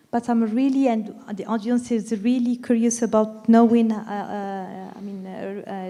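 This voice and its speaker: Mellow-voiced woman moderating a panel. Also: mid-range voice, big-room sound. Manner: halting, many "uh" fillers.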